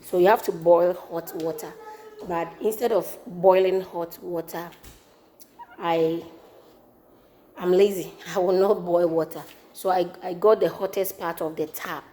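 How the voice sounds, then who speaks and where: A woman with a Ghanaian accent demonstrating a home recipe in her kitchen, relaxed and repeating herself as she works. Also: fairly low pitch, measured pace. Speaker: home cooking vlogger. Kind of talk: casual cooking demonstration.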